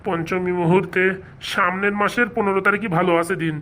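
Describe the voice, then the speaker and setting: A woman's voice: mid-range voice, steady tone, a little fast, fairly bright tone, slight background noise, lightly acted. A woman playing a fussy, muddled male astrologer priest in a comic cartoon, muttering and repeating himself. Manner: muttering, repetitive, easily muddled.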